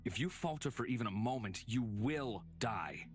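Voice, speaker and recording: A man voicing a cryptic, aloof stranger in a visual novel, mocking and measured with an ominous edge. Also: mid-range voice, lively intonation, faint music behind, close to an everyday voice. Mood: ominous, taunting. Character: cryptic, taunting male stranger, shrewd and aloof.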